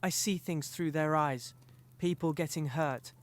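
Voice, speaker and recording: A man's voice: a little high, unhurried, natural voice. A man playing an earnest, weary teenage boy wizard in a fan audio drama, subdued and troubled.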